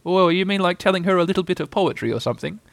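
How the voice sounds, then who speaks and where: A man voicing a humble, slow-witted, well-meaning toy bear with a simple, earnest manner, in a storybook reading. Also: high-pitched, lively intonation, gravelly, no room sound, voice pushed up. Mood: puzzled.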